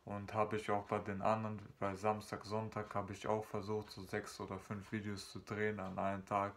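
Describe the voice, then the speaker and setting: A man's voice: fairly low pitch, completely flat delivery, moderate pace. A young man from Germany vlogging casually to his webcam in a small room, rambling with many fillers and restarts. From Germany, North Rhine-Westphalia. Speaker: YouTuber. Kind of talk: casual vlog chat to camera.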